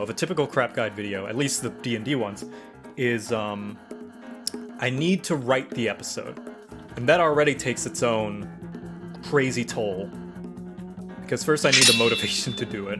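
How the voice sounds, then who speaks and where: Man thinking aloud over music. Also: medium pitch. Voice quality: slight stutter. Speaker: streamer and animator. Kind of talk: casual livestream chat explaining a process. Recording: music playing behind.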